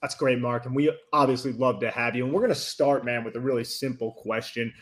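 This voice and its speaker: A man co-hosting a podcast, easygoing and a little wry as he asks a question. His voice sits at a middle pitch for a man. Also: a little fast. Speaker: podcast co-host. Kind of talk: casual podcast talk.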